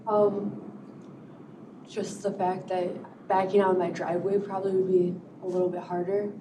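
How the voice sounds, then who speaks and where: A woman neighbor giving testimony at a hearing, soft-spoken and tentative. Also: fairly low pitch, flat delivery, moderate pace. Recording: echoey room, distant mic, noisy.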